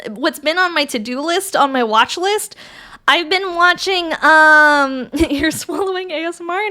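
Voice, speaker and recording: Woman streamer, casual and laugh-prone, loose with swearing, slightly raspy from allergies, with a very high voice. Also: bright, forceful tone. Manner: laughs often, swears freely, reads chat aloud. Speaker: livestreamer. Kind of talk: casual livestream chat, answering viewers.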